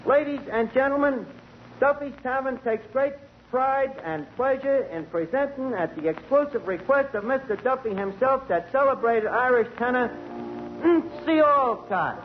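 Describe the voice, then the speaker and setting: High-pitched New wise guy barkeep. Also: unhurried. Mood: grandly announcing. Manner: streetwise, mangles grammar and big words. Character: wisecracking, malapropism-prone male tavern manager. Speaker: comic lead of a radio sitcom. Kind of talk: 1940s radio comedy acting. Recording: muffled, noisy 1940s radio recording.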